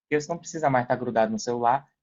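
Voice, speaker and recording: A man's voice: medium pitch, very fast. A Brazilian man explaining things casually as a podcast guest, repeating phrases for emphasis. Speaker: podcast guest, affiliate seller. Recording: dull, band-limited sound.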